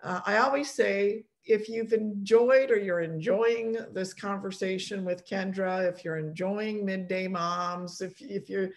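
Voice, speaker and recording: A woman's voice: mid-range voice, steady tone, unhurried, hardly any pauses. A middle-aged woman from Poland hosting a casual podcast chat over a video call, chatty, laughing often and restarting her sentences. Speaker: podcast host.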